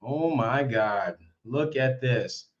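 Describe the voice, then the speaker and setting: A young man hosting a casual live stream, hoarse with a worn-out voice, chatty and excitable as he repeats himself. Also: mid-range voice, slow. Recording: slightly dull lo-fi stream audio.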